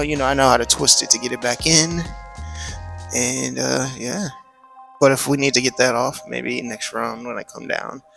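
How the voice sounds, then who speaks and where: An American man in his thirties vlogging casually as he works, loose and halting, thinking aloud into a close handheld camera. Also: mid-range voice, vocal fry, bright, forceful tone. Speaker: motorcycle vlogger. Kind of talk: casual vlog narration while working.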